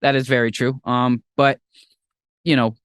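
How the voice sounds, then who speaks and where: A young man hosting a podcast in casual chat, talking in an animated, rambling way with playful jumps into a high voice. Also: unhurried, clean tone, no echo, studio quality. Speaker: podcast host.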